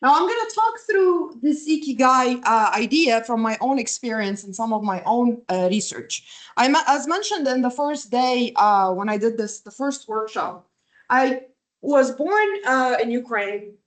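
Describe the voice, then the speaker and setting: A woman's voice: fairly high pitch, animated, a little slow. A middle-aged woman from Lebanon giving a workshop lecture over slides on a video call, conversational, often checking in with "right?" and filler words. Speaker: visiting academic presenting a workshop.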